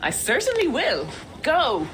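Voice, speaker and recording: An actress playing a spirited bow-maker in a video game, with a bold, playful way of talking. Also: female voice, high-pitched, huge pitch swings, measured pace, heavily acted. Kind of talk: video game cinematic acting.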